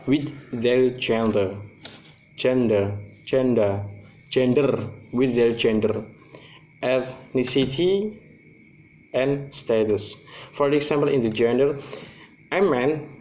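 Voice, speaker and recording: A young Indonesian man with a clear Indonesian accent, speaking English as a second language. He talks slowly and haltingly, pausing often to find the next word. His voice sits at an average pitch for a man. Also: mellow, highs cut off, a little hiss or hum. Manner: halting, searching for English words. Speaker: student presenter. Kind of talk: class presentation.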